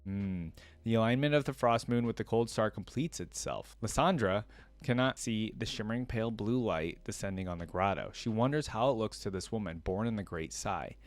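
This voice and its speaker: A man with a fairly low voice reads a story aloud and reacts casually as he goes. He is an informal host given to exclaiming and interjecting his own thoughts, recorded close and dry. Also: normal speed, no echo.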